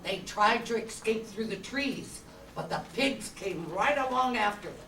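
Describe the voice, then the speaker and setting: A woman's voice: fairly high pitch, expressive, measured pace, a bit bright. A woman reading scripted narration for a radio serial. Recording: roomy, distant mic, faint noise.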